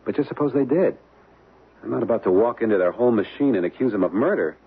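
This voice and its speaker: An actor playing a wary, easygoing young American husband in a radio drama, speaking in slangy, hesitant phrases. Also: male voice, mid-range voice, expressive, fast talker, slightly rough. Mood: cautious, resisting. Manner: slangy, hesitant, repeating words. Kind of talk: old-time radio drama acting. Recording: tinny, band-limited vintage radio, hissy.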